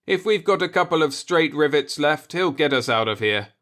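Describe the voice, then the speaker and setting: A man's voice: on the high side, lively intonation, moderate pace, fairly bright tone, voice pushed up. A man voicing a practical, blunt male spaceship crewman who takes charge, in a sci-fi audiobook. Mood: confident. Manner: blunt, matter-of-fact. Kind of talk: storybook dialogue in a sci-fi audiobook.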